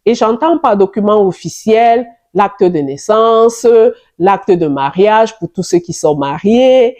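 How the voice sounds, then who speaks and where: A middle-aged francophone woman who immigrated to Canada, giving advice to camera in a deliberate, explanatory way that repeats key points. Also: medium pitch, lively intonation, a little mellow. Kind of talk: advice vlog talking to camera.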